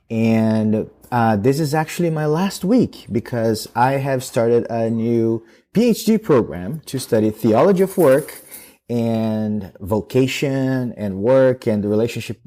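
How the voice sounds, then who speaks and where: Thirties male guest with a Brazilian accent, low and mellow, measured and thoughtful. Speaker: guest, theology student. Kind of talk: casual interview.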